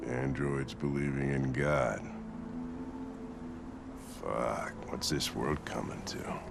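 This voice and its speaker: An actor playing a gruff, weary, hard-drinking male police lieutenant who speaks bluntly and sardonically, in a video game cutscene. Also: extremely low pitch, slow, exaggerated voice. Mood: sardonic. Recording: game score music behind. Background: music.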